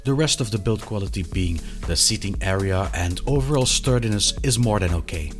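A man in his thirties presenting a product review to camera, with a hesitant, halting delivery and frequent pauses. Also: fairly low pitch, expressive, faint music behind. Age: 30s. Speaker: video reviewer of sim racing gear. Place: small indoor hobby room.

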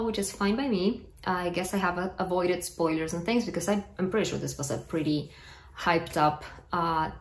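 A woman's voice: on the low side, slight background noise. A woman in her thirties from South America, a book vlogger chatting casually to camera in a small room. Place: small plain room at home, indoors. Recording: roomy indoor echo.

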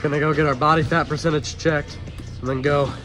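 A man's voice: on the high side, flat delivery, fairly quick, a bit bright, soft background music. Laid-back young man vlogging. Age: young adult. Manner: joking, laid-back slang. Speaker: fitness vlogger. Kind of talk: casual vlog chat. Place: gym, car and store.

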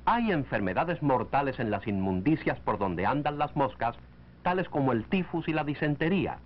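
A male narrator from a 1940s educational film, heard through a dull, lo-fi old soundtrack. His pitch is mid-range and moves in lively, animated swings. He reads scripted lines in a measured, instructive manner. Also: slight background noise.

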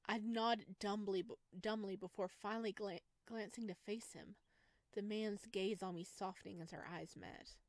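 A woman narrates a visual novel as a streamer would, reading expressively. Her pitch sits in the middle range for a woman. The sound is close and dry. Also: steady tone, normal speed, dry recording.

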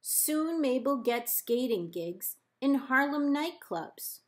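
A woman librarian reading a children's picture book aloud in measured, deliberate phrases with pauses. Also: sits high, animated. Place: indoors, book held up to camera.

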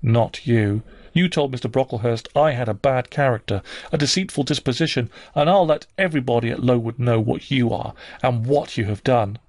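A man's voice: mid-range voice, normal speed, hardly any pauses, a little mellow, dry recording, studio quality, natural voice. A man voicing a wounded, defiant young girl in a storybook reading, vehement and accusing with emphatic delivery. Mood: fierce, defiant.

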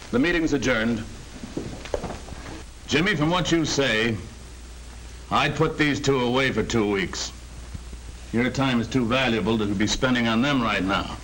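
An actor playing an earnest middle-aged American sales manager in a 1940 training film, speaking in a firm, instructive way. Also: male voice, medium pitch, fairly bright tone, close to an everyday voice. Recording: noisy old 1940 film soundtrack.

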